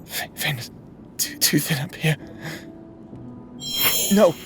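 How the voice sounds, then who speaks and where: A man's voice: on the high side, slow pace, music in the background. A man voicing a remorseful necromancer husband who now haunts his partner's memory, speaking tenderly and teasingly in a roleplay audio drama. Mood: pleading. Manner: tender, teasing, softly spoken.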